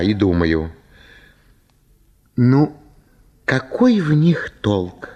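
An actor playing a small, effusive old impoverished gentleman, gushing with florid, obsequious gratitude in a radio play. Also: medium pitch, heavily acted. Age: older. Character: small old impoverished landowner, effusive, fawning, grateful flatterer. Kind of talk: radio play acting of classic comic story.

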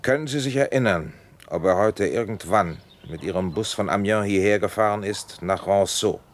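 An actor in a German radio play playing a sober police inspector, questioning tersely and insistently. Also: male voice, lowish voice, normal speed, natural voice. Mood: businesslike, probing.

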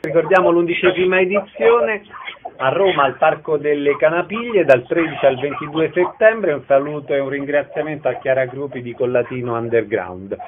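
A man's voice: a little high, normal speed. A man hosting a radio show, announcing events and interviewing guests. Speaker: radio host. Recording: tinny phone-like radio sound, clear noise.

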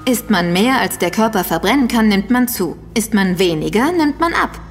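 An actress playing a quick-witted, knowing female investigator in a German audio drama, with an explanatory way of talking. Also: mid-range voice, lively intonation, natural voice. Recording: reverberant hall with music underneath.

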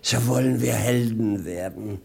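An actor playing a witty, idle jester of a companion with playful irony, in a theatrical 1950s German radio drama style. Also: male voice, mid-range voice, measured pace, vocal fry, a little mellow, husky, heavily acted. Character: witty idle male companion, mocking jester spinning absurd schemes.

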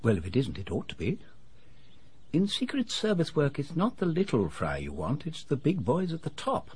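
An actor playing an unassuming but knowing retired English civil servant, older, genteel and confidential, in a radio drama. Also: male voice, a little high, huge pitch swings, moderate pace, a little mellow, a little hiss or hum. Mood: sly, confiding.

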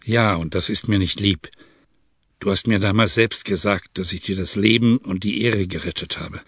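A man's voice: fairly low pitch, moderate pace, gravelly, a bit bright, husky, no echo, exaggerated voice. A man reading aloud and voicing a gloomy, reproachful tribesman in an adventure story. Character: gloomy Kurdish tribesman, wary adult man.

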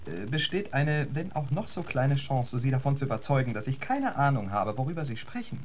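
An actor playing a witty, urbane gentleman poet in a radio drama, elaborately polite, wry and eloquent. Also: medium pitch, very animated, fairly quick, slight background noise, natural voice. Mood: courteous, breezy.

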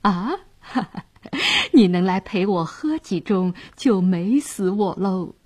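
Woman putting on a leering drunken nobleman, slow and swooping. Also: mid-range voice, big pitch swings, slightly rough, exaggerated voice. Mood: lecherous glee. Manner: leering, slurred, drawn-out wheedling. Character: lecherous, drunken, self-important nobleman villain.

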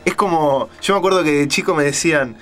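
A man's voice: fairly high pitch, fast. A man chatting casually as a co-host on a radio show. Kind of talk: casual chat.

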